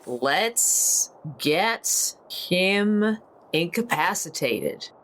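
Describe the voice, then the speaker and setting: A woman playing a tabletop game, chatting casually and reacting in an expressive, exclamatory way. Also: fairly low pitch, extremely slow, low-quality recording. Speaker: player. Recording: noticeable background noise, room ambience.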